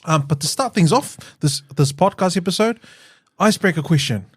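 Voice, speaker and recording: A man hosts a podcast interview, his voice a little higher than most men's. He encourages his guest and keeps the talk moving with questions. The recording is close and dry. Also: lively intonation, a little fast, husky, no room sound. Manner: warm and encouraging, with frequent affirmations. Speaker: podcast host.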